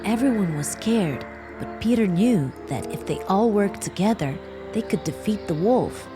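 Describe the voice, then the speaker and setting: A woman narrates a children's bedtime tale, speaking directly and warmly to young listeners. Her pitch rises and falls in an animated, expressive way. Background music accompanies her throughout. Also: normal speed. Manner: warm, animated storytelling addressing children. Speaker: storyteller.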